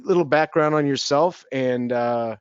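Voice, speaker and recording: A man's voice: medium pitch, a little slow, smooth, muffled recording. A man hosting a webinar over a video call, introducing his guest in an easygoing, reassuring way. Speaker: webinar host. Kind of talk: casual chat.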